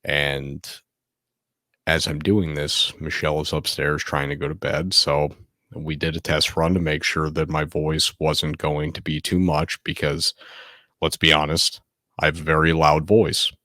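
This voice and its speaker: A man in his thirties hosting a casual late-night solo podcast from home, speaking softly and close to the microphone in a laid-back, candid way. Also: extremely low pitch, little pitch movement, a little slow, no echo. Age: 30s. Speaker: podcast host.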